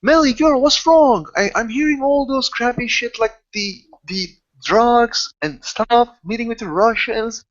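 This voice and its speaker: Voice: medium pitch, measured pace, few pauses, dull audio, voice pushed up, natural voice. A person roleplaying a blunt, protective, worried streetwise friend in a tabletop game, asking urgent questions. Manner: blunt, calls listener girl, urgent questioning.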